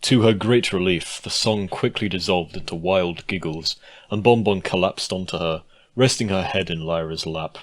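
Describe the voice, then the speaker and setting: A man's voice: sits low, moderate pace. A man reading a scripted story aloud as its narrator. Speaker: fan fiction narrator.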